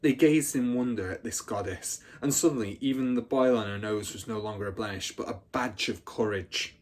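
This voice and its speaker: A man's voice: fairly low pitch, moderate pace, darkish tone. A man reading a children's story aloud expressively, as a teacher would to pupils.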